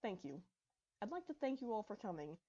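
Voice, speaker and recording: A woman's voice: medium pitch, big pitch swings, brisk, mellow, slightly rough, muffled recording. A woman voicing a cheerful, eager young pony princess in a storybook reading of fan fiction. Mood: polite, addressing guests.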